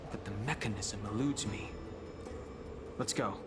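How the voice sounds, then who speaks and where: An actor playing a grave, reserved warrior in a video game, speaking tersely and seriously in measured phrases. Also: male voice, lowish voice, heavy background noise. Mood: pensive. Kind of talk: restrained video game dialogue. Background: music.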